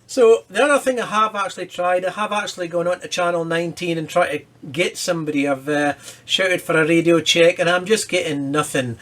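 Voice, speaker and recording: A man with a somewhat high voice gives a casual demonstration at his workbench. He talks informally, thinking aloud as he works through a repair. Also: brisk, hardly any pauses, fairly bright tone. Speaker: presenter of a hobby electronics repair video. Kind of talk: casual hands-on demonstration commentary.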